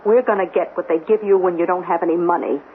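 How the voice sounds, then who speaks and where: A woman's voice: lowish voice, fairly even intonation, fast talker, natural voice. An actress playing a fiercely protective, middle-aged working-class mother, speaking emphatically in a 1970s radio drama. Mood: bitter, defiant. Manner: emphatic, insistent. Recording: tinny, noisy old radio broadcast.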